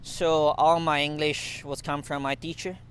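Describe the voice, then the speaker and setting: Man with a Chinese accent, halting English. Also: a little high, level tone, fairly bright tone, smooth. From China. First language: Mandarin. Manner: halting non-native English with frequent fillers and restarts; chuckles now and then. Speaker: interview guest. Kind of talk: casual interview.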